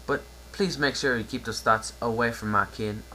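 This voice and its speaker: Someone playing a blunt, folksy farm mare, forceful. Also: medium pitch, normal speed, slight background noise, close to an everyday voice, poor audio. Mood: stern. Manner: folksy rural drawl. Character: blunt, protective female farm pony, quick-tempered. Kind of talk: cartoon fan-fiction dramatic reading.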